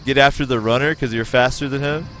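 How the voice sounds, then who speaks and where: Streamer: American man, mid-pitched, excitable, swears casually while calling gameplay. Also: fast. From United States. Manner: excitable, profane, talks to chat.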